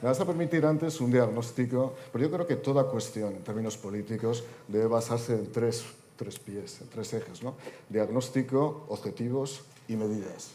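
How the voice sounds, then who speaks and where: A man's voice: medium pitch, moderate pace, dark tone. A man in his fifties speaking on a conference panel, delivering an oratorical talk with wry, joking asides. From Spain. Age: 50s.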